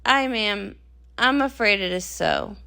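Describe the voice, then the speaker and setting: A woman's voice: mid-range voice, animated, slow, creaky, pushed and bright, clean tone, pitched below the performer's own voice, heavily acted. Woman voicing an earnest, determined male pony hero. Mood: ashamed.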